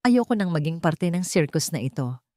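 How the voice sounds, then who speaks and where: A woman voicing a wounded but resolute young heiress in a story, speaking in measured, firm, quietly defiant declarations. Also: low voice, animated, no room sound, clean studio sound. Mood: cold resolve.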